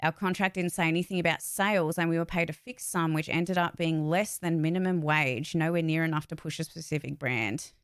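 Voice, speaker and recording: A woman with a fairly low voice talks in a casual, candid livestream style. She is blunt and emphatic and swears casually. The sound is dry and close, with very little room in it. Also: steady tone, a little fast, few pauses, smooth, dry recording. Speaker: voice actor and channel host.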